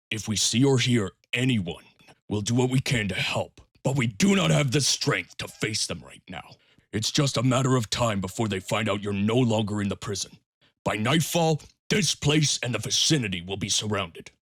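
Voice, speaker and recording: A man's voice: lowish voice, lively intonation, moderate pace, no room sound, clean studio sound. A man playing a stern, pragmatic samurai warrior in a fan audio drama, firm and admonishing. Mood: weary, urgent.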